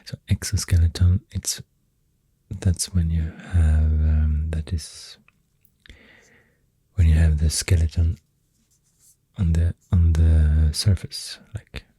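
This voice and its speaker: A soft-spoken ASMR host with a Swedish accent, half-whispering in a breathy voice and hesitantly rambling up close to the microphone. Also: rumbling low, flat delivery, no echo. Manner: hushed, hesitant, pausing mid-thought. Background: pencil writing sounds.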